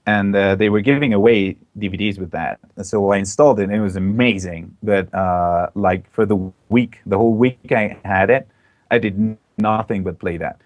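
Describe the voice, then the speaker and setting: A young man from Belgium talks as a guest on an online call, his voice low and the sound dry with no room around it. He chats eagerly, full of fillers and restarts. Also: fairly even intonation, moderate pace, no echo, muffled recording. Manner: enthusiastic, chatty, many fillers. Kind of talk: casual interview chat. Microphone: online call mic.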